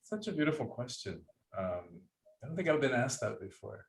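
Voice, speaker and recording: A man's voice: mid-range voice, very animated, moderate pace, creaky. A man from Cuba, a guest author and breathwork teacher, talking earnestly and reflectively over a video call. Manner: reflective, earnest, searching for words. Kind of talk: conversational interview. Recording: echoey room sound.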